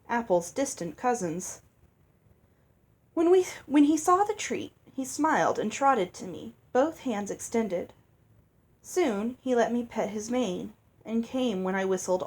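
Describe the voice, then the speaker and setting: Medium-pitched woman reading a storybook aloud. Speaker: audiobook reader. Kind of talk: storybook narration, read aloud.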